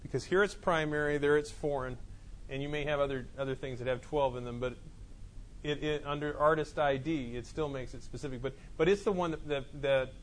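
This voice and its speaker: A man's voice: fairly high pitch, fairly even intonation, fairly quick, a little hiss or hum. A man teaching a college class in a classroom, explaining in a loose, repetitive way with many restarts. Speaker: college instructor. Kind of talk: classroom lecture. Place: classroom with students. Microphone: clip-on mic that keeps falling off.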